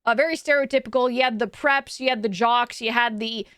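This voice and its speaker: High-voiced rambling woman streamer. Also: brisk, a bit bright, clean tone, dry recording, clean studio sound. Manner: rambling, many restarts, laughs often. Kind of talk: casual live-stream chat, reading chat.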